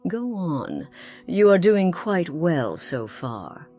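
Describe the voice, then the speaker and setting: Slow, low-voiced ageless noblewoman, secretive and refined, played by a woman. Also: sing-song, dark tone, soft background music, exaggerated voice. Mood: probing, sly. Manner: formal, measured, theatrical pauses. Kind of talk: scripted game character dialogue.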